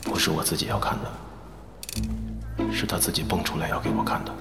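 A man's voice: deep voice, level tone, normal speed, creaky, loud music behind the voice. A man playing a teasing, cocky, easygoing film actor in an audio drama, with a playful drawl. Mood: wry, smug. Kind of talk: Chinese audio drama acting.

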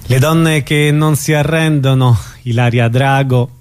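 Man interviewing, mid-pitched and hesitant. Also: steady tone, a little slow, clear, resonant tone. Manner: hesitant, searching phrasing. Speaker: radio host, interviewer. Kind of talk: conversational radio interview. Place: radio show interview.

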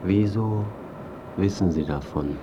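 Male biographer, adrift and bewildered, dry delivery, deepish voice, radio play, muffled with noisy ambience. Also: slow. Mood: puzzled, subdued. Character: disillusioned male biographer, self-absorbed, adrift, dryly bewildered. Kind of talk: radio drama acting and narration. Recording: muffled, clear background ambience noise.

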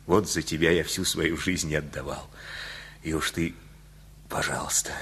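A kindly older neighbour in a radio drama. His voice is very low and husky. He moralizes in homespun, colloquial phrases, like a favourite uncle. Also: fairly bright tone, full character voice. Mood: tender. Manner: avuncular, unhurried, colloquial preaching. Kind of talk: radio play acting.

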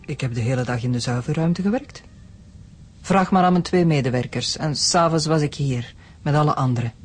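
An actress playing a distraught, weary Flemish farmer's wife and mother in a radio drama, with a husky voice. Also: low voice, fairly quick. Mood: wary, subdued.

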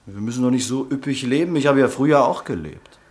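A man's voice: medium pitch, fast, darkish tone, lightly acted. An actor playing a dreamy man who has lost his fortune, speaking in a wistful, hesitant way.

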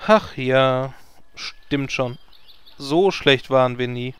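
A man's voice: mid-range voice, takes it slowly, smooth, no room sound, close to an everyday voice. A man playing a jaded, sarcastic gamer hero in a parody audio drama, grumbling wearily. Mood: wistful. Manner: weary, sardonic grumbling.

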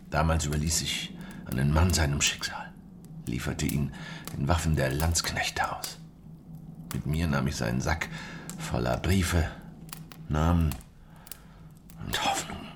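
Very deep, world-weary war veteran. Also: male voice, background noise. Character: world-weary, guarded fugitive veteran of a peasant revolt. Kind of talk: German historical radio drama acting.

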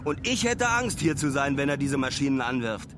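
A man's voice: mid-range voice, sing-song, normal speed, noisy background, natural voice. A man playing a weary traveler who gives a diary-like radio drama account from a decayed launch site, in German. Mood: wary, observant. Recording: loud outdoor machinery and vehicle ambience.

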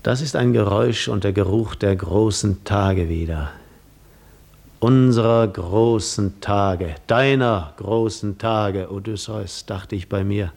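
An actor playing a weary, proud seafarer lost in memories, in a poetic, theatrical 1950s radio monologue. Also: male voice, lowish voice, slow pace, creaky, a little mellow, full character voice. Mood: wistful, reflective. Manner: poetic, reflective, theatrical delivery.

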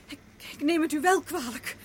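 Casting: woman to play desperate young woman, high voice, breathless and agitated, radio play with noisy vehicle rumble. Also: normal speed, lightly acted. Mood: irritated, hurried. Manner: breathless, agitated, half-whispering to herself, curt with others. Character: anxious young woman driving desperately against time. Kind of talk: radio drama acting.

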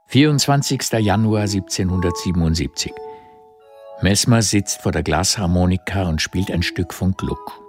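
Low-voiced male literary narrator. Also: normal speed, faint music behind. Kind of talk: literary scripted narration in a radio drama.

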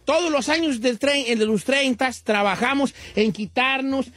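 A man puts on a folksy older Mexican radio host with a very high-pitched voice. The talk is slangy and cheeky, delivered like a mock lecture in a Mexican accent, with music playing behind. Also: a little slow, a bit bright. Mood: mock-lecturing. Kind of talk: comedic radio talk with callers and co-hosts.

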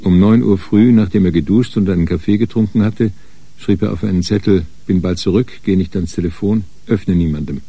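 A man's voice: low-pitched, a little fast, warm tone. A man reading scripted narration for a German radio crime drama.

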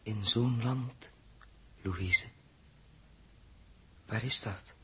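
An actor playing a troubled, melancholy man lost in his memories, halting in speech, in 1960s radio drama style. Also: fairly low pitch, fairly bright tone, slightly rough, full character voice. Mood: forlorn, pleading. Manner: hesitant, halting.

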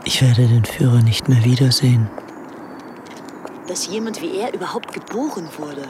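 A young British woman in a radio drama, hopelessly devoted to her idol. Her voice is very low, adoring and earnest, as if reading out private letters. Faint noise sits behind her. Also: moderate pace. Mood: fervent.

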